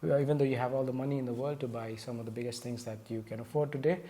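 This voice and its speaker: A man's voice: mid-range voice, steady tone, racing, dark tone. A man in his forties hosting a casual chat, teasing his guest and chuckling. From India. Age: 40s. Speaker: podcast host.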